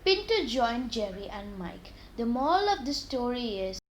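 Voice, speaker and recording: High-voiced woman reading children's stories. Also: sing-song, moderate pace, a little hiss or hum. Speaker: children's story reader. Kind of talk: read-aloud scripted storytelling with morals.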